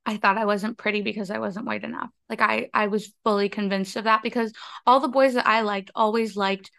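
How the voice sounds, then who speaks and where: A young woman from the United States hosting a casual podcast conversation, chatty and candid with quick asides. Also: mid-range voice, steady tone, gravelly, a bit bright, dry recording, studio quality. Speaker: podcast host.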